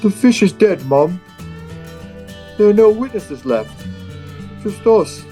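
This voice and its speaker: A grown son, wounded and intense, in a read-aloud family drama, played by a man. The voice pushes up in pitch over faint music. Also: lively intonation, takes it slowly, warm tone. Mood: grim. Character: wounded adult son confronting his elderly mother. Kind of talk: live table read of a drama script.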